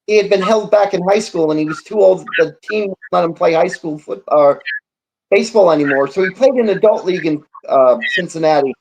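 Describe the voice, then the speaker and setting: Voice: medium pitch, a little fast, vocal fry, a little mellow, a little hiss or hum, low-quality recording. A sports talk show participant telling a story casually, meandering and acting out bits of quoted dialogue.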